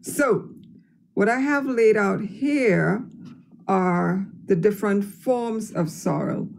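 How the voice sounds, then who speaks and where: A woman's voice: medium pitch, slow pace, vocal fry, a little mellow. A woman, explaining a drink recipe step by step in a recorded cooking demonstration. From Trinidad and Tobago.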